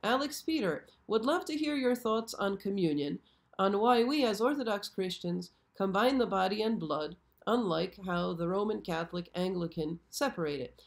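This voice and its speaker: A hoarse woman hosting a casual live stream, chatty and often checking in with her viewers. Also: medium pitch, lively intonation, moderate pace. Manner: chatty, frequently checks in with "okay?". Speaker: show host. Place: show set.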